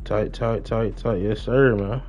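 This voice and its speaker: A man's voice: lowish voice, steady tone, measured pace, darkish tone. A young American man chatting casually on a gaming livestream, slangy and repeating his greetings to viewers. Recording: slightly dull, lo-fi stream mic.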